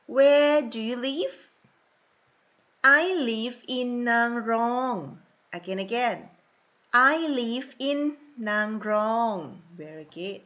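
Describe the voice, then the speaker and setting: A woman teacher, drilling a young English class with slow, exaggerated repeat-after-me prompts and soft asides. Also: high-pitched. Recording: dull lo-fi recording.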